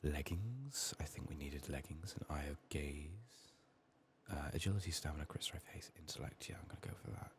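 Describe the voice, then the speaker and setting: Very deep, soft-spoken ASMR man. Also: brisk. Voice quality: soft-spoken, often dropping to whispers. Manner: murmured thinking aloud. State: sick, strained voice. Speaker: ASMR gameplay streamer. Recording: faint noise, keyboard typing and clicks. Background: keyboard typing, clicking, faint noise.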